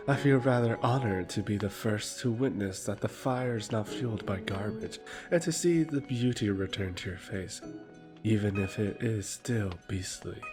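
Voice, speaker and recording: A man playing a haughty, needling royal emissary in a fantasy audio roleplay, formal, condescending and mocking. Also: lowish voice. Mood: smug amusement. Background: music.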